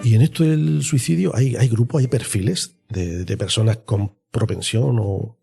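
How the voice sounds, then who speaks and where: A man's voice: mid-range voice, normal speed, gravelly, mellow, slightly rough, soft background music. A man hosting a podcast, asking questions in a conversational way. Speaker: podcast host, interviewer. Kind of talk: conversational interviewing.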